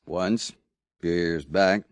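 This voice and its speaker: A gruff frontier newspaperman. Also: low-pitched, fairly even intonation, slow pace, no echo, clean studio sound. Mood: wary. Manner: gruff casual drawl. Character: hungover frontier newspaperman, blunt and wary. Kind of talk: 1950s radio drama acting.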